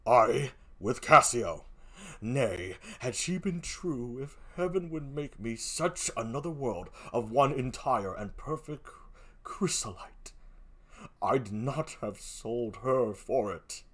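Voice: mid-range voice, lively intonation, slow. A performer voicing a jealous, commanding and anguished husband in a declamatory, theatrical Shakespearean stage reading. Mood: bitter, brooding. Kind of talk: classical Shakespearean stage acting.

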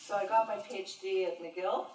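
A woman's voice: mid-range voice, steady tone, heavy background noise. Older woman professor, roomy lecture hall. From Montreal, Canada. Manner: thoughtful, unhurried, many fillers and restarts. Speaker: professor and translator giving a talk. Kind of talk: lecture and audience Q&A. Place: large lecture room with an audience. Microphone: podium microphone. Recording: roomy hall sound, mic heard from a distance.

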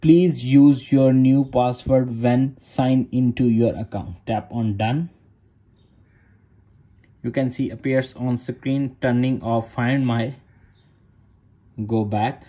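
A man's voice: mid-range voice, steady tone, slow pace, pauses often, warm tone, muffled recording. A man narrating a phone tutorial in short, repeated step-by-step instructions in non-native English. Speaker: tech tutorial host.

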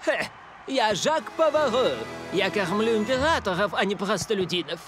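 A man's voice: sits high, lively intonation, moderate pace. A man playing a haughty, fussy gourmet chef in a dubbed cartoon, pompous and theatrical, with music behind him.

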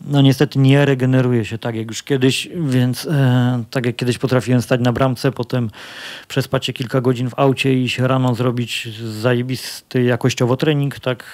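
A man's voice: mid-range voice, level tone, normal speed, darkish tone. A man in his thirties, a fighter answering press questions into a handheld mic, talking casually with lots of fillers and restarts. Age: 30s. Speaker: MMA fighter. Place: press conference room with sponsor backdrop. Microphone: handheld microphone.

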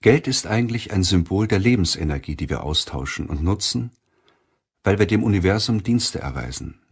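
Male narrator, low and husky, deliberate scripted self-help audiobook read over music. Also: lively intonation.

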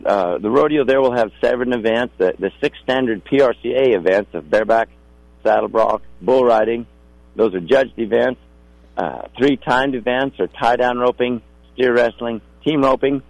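A middle-aged man talks in a polite, folksy way as a radio guest. His voice is low and husky, with plenty of gravel in it. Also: darkish tone, no echo, low-quality recording. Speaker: rodeo contractor guest.

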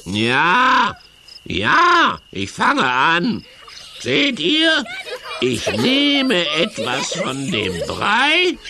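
A man's voice: high voice, wildly swooping pitch, extremely slow, noisy background, heavily acted. An actor playing a grumpy, sly villain in a children's audio drama, whining and stammering his excuses. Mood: grudging. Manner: whiny, stammering, exaggerated.

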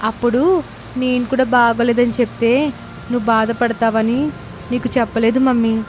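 A woman's voice: fairly high pitch, fairly even intonation, moderate pace, a little mellow, slight background noise, lightly acted, low-quality recording. A woman voicing a candid, chatty schoolchild talking to a parent in a cartoon moral story.

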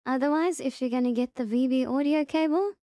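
A woman hosting a casual software tutorial, explaining it step by step in a halting way. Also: high voice, no room sound, studio quality. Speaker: tutorial host.

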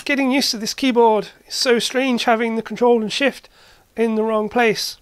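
A hobbyist host giving a casual hands-on demonstration, halting and matter-of-fact, thinking aloud while working. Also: medium pitch, steady tone, clean tone, no room sound. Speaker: host, retro computer hobbyist. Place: indoor cluttered workshop.

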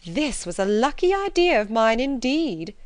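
Woman as fussy middle-aged mother, scheming, gushing, emphatic, raised pitch, audiobook storytelling. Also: very animated, a little slow, dry recording. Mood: eager, gleeful. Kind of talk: classic novel audiobook character.

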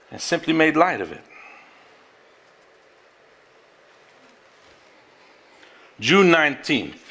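An actor on a theater stage playing a man who reads an old journal aloud, deliberate, formal and earnest. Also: a little high, bright, forceful tone. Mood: solemn, concerned. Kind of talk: live stage drama, measured dramatic reading. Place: theater stage before a live audience.

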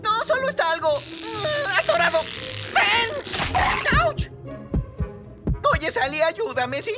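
Una mujer interpreta a una ardilla macho de dibujos animados, ansiosa, dramática y propensa al lloriqueo. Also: female voice, extremely high pitch, sing-song, slow pace, exaggerated voice. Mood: desperate. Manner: overwrought, dramatic, given to wailing. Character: frantic, anxious male cartoon squirrel, devoted to his plant. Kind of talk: cartoon voice acting. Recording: loud music behind the voice.

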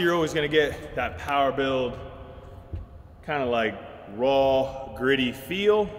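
A man's voice: medium pitch, fairly bright tone. Gym-owner man, 30s, casual profane vlog chat, recorded from a far camera with a noisy background. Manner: laid-back, profane, filler-heavy. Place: large empty warehouse gym space. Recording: echoey warehouse with long reverb, noisy.

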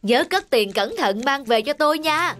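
Greedy old peasant wife, high-pitched. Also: female voice, animated, normal speed, over music. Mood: bossy. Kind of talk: animated folk tale acting.

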